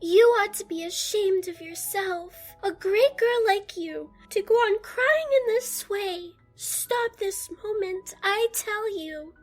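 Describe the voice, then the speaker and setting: A woman's voice: extremely high pitch, slow, hardly any pauses, soft background music. A woman voicing a bewildered little girl in an audiobook, musing earnestly aloud and scolding herself. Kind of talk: audiobook character acting.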